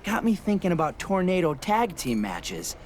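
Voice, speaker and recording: A woman's voice: fairly low pitch, big pitch swings, normal speed, a little mellow, a little hiss or hum. A woman voicing a whimsical, playful female wrestler in a video game cutscene. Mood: playful, dreamy.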